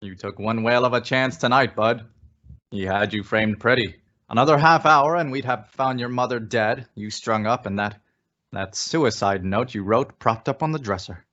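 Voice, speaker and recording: A man's voice: mid-range voice, normal speed, fairly bright tone, dull audio, natural voice. A man playing a protective, plainspoken fatherly figure in an old-time radio suspense play, folksy and emphatic. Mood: grave, emphatic.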